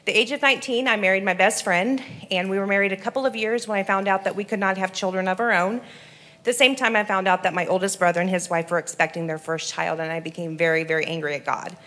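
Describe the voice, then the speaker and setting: A woman in her forties from Iowa, giving an earnest church testimony with frequent pauses and ums. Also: medium pitch, fairly even intonation, fairly bright tone. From Iowa, USA. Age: 40s. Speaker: rehab program resident giving testimony.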